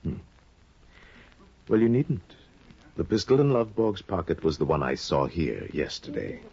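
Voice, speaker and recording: An actor playing a sly, insinuating middle-aged judge in a 1950 radio drama, probing and quietly menacing. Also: male voice, low voice, very animated, measured pace, gravelly, husky, heavily acted. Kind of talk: 1950s radio drama acting. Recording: dull lo-fi 1950 radio broadcast.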